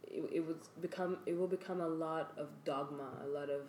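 A young woman with a low-pitched voice talks in a chatty, emphatic way on a podcast. The room around her is echoey, with a faint hiss underneath. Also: fairly even intonation, a little mellow. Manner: chatty, emphatic, quick to laugh. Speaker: podcast co-host. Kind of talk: casual chat.

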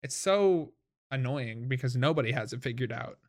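A twenty-year-old streamer hosting a casual chat, talking quickly and playfully with restarts. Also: medium pitch, expressive. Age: young adult. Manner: quick, stumbling, playful talk.

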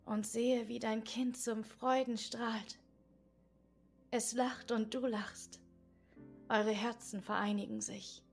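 A woman guiding an inner-child meditation in a slow, soft, partly whispered voice. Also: on the high side, flat delivery, creaky, faint music behind. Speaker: meditation guide.

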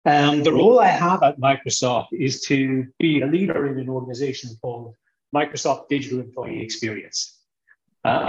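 A middle-aged man with an Irish accent lays out his points in a measured, explanatory way, now and then restarting a phrase. His voice sits in a roomy, echoey space. The recording sounds dull and lo-fi. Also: medium pitch. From Ireland. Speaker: guest, technology executive. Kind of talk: podcast interview answers.

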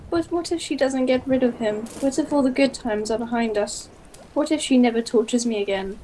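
A woman plays a gloomy young boy who sulks through his questions. The voice sits a little high and the delivery is mopey. A faint hiss runs under it. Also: fairly quick, dark tone, close to an everyday voice, poor audio. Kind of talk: stage duologue.

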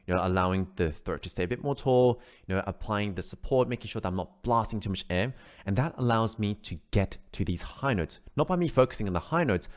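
Low-voiced male vocal coach. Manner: encouraging, explanatory, frequent "right?" tags. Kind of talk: online singing lesson.

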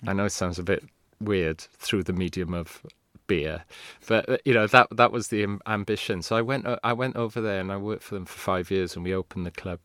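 Low-voiced man, rambling. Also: a little fast, hardly any pauses, fairly bright tone, dry recording, studio quality. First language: Welsh. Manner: rambling, restarts, candid. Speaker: guest. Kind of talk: casual interview talk.

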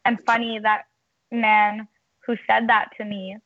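Young woman, phone-line caller, earnest, flat delivery, dry tinny sound. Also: on the high side, unhurried, dry recording. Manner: earnest, uses filler "like". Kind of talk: personal account on radio.

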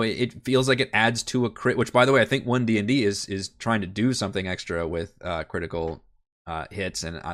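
A man's voice: on the low side, fairly bright tone. A man with a head cold and a nasal, congested voice, chatting loosely as a game master on a livestream and thinking aloud with many fillers and restarts. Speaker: game master and channel host. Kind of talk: casual livestream Q&A.

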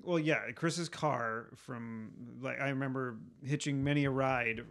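Gravelly man in his forties recalling. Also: medium pitch, fairly bright tone. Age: 40s. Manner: halting, repeats words while remembering dates. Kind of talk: casual chat.

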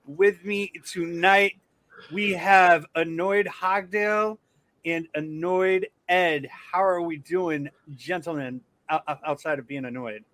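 A man's voice: sits high, steady tone, takes it slowly, fairly bright tone. A man hosting a casual sports podcast, talking loosely, swearing often and restarting his sentences. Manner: loose and profane, with frequent restarts. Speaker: podcast host.

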